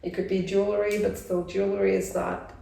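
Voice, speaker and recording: Brief: woman in her forties from Norway, casual family vlogger, fry in the voice, sounds far off in a roomy space. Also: medium pitch, level tone, fairly quick, mellow. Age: 40s. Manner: searches for words, laughs easily. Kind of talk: casual chat.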